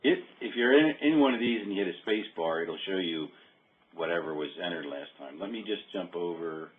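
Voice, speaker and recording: A man talking informally through a software demo on a remote call, often muttering to himself as he works. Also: deep voice, animated, a little fast. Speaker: software demonstrator. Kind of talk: casual screen-share demo talk. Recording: dull narrow-band call audio.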